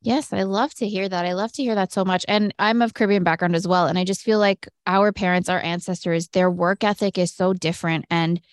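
A woman's voice: on the low side, steady tone, a little fast, few pauses, no echo, clean studio sound. A woman hosting an interview, asking questions and responding enthusiastically. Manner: enthusiastic, affirming. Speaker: interviewer, host.

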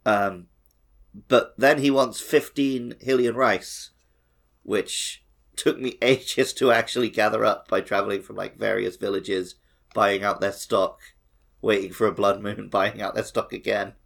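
A man's voice: on the low side, steady tone, measured pace, vocal fry, fairly bright tone. A man co-hosting a gaming podcast, talking casually and conversationally. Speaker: podcast co-host.

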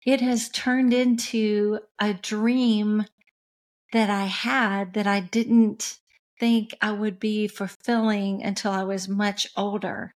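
A soft-spoken, middle-aged woman speaks slowly and evenly, pausing often to gather her thoughts. Her delivery stays flat and gentle as she recounts her career in an easygoing interview. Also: medium pitch. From West Tennessee. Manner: unhurried, with pauses, fillers and restarts. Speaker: interview guest, music industry coach. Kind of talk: reflective conversational storytelling.

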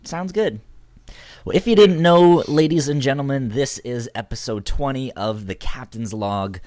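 A man hosting a podcast in an easygoing casual chat, his voice raspy from being sick. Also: medium pitch, unhurried, a little mellow, no room sound, studio quality. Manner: easygoing, short reactions and laughs. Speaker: podcast host.

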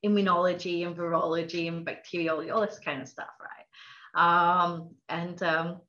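A woman's voice: lowish voice, fairly even intonation, normal speed, fairly bright tone, highs cut off. A woman with a mixed international accent from Scottish and Norwegian roots, chatting as a guest scientist in a podcast interview, laughing often and using many fillers. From Norway.

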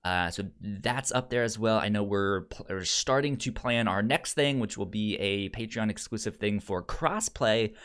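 Male podcast co-host, slightly low-pitched, with a stutter that repeats syllables, casual discussion style. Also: normal speed, few pauses, a bit bright, clear, resonant tone.

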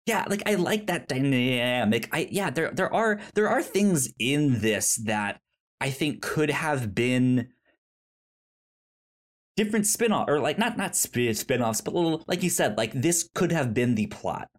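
A podcast co-host in casual film discussion, speaking haltingly and often stammering on repeated syllables. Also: medium pitch, expressive.

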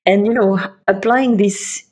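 A middle-aged woman from Bulgaria, a guest in an interview, answering in a reflective, measured way and laying out her points one by one. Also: medium pitch, fairly even intonation, darkish tone. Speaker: guest, investment partner.

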